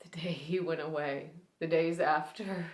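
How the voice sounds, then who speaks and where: A woman performing a dramatic stage monologue as a reclusive widow who haltingly breaks a long silence, in short, pained phrases. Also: low-pitched, fairly even intonation. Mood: grieving. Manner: halting, short broken phrases, sighing, swinging from hushed to pained. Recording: roomy, echoing indoor sound.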